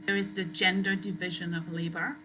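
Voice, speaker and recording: A woman from Trinidad and Tobago, giving an academic lecture as a guest speaker in a deliberate, emphatic way. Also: on the low side, level tone, noisy background.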